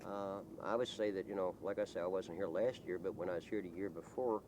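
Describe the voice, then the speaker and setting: Man, very low voice, folksy rambling storyteller, halting delivery, clearly noisy documentary sound. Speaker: local resident being interviewed. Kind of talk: casual storytelling to an interviewer.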